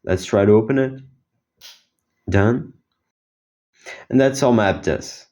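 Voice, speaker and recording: Low-voiced teenage guy presenting. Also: takes it slowly, a little mellow. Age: teens. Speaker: student inventor presenting own project. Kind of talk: explanatory project demo.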